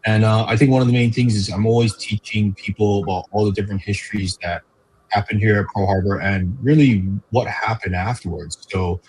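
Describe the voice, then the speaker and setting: This is a man from Hawaii talking as a guest in a relaxed interview. His voice is low and somewhat husky. He speaks in a friendly, easygoing way with frequent fillers. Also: fairly quick, low-quality recording. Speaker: park educator guest. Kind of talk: casual interview chat.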